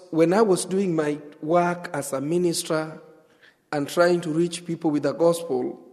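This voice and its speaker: A man with a Kenyan accent, whose first language is Luo, giving his testimony as a guest pastor, speaking earnestly with pauses and restarts. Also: sits high, a little mellow. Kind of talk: church testimony. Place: church sanctuary. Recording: echoey church hall.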